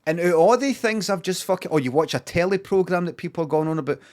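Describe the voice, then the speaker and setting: A man's voice: high voice, quick pace, a little mellow. A Glaswegian Scottish man chatting casually on a livestream, rambling, swearing freely and repeating himself for emphasis.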